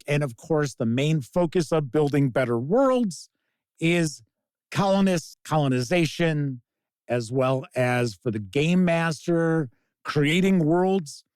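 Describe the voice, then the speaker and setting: A man presenting a casual tabletop game review as host, speaking in deliberate, emphatic phrases. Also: mid-range voice, a little mellow, no echo. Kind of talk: casual scripted-outline product review to camera.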